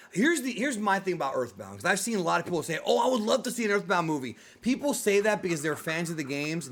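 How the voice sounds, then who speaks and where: A man's voice: a little high, fairly bright tone. A man hosting a casual, opinionated discussion, speaking emphatically and repeating himself to drive points home. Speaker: host of a video game and film talk show.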